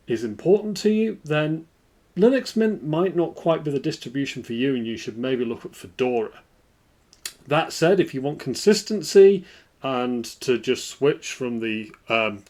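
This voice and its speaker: A man hosting a screen-recorded software walkthrough, explaining in a relaxed way. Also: mid-range voice. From United Kingdom. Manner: relaxed explanatory, lively intonation. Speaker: tech video host.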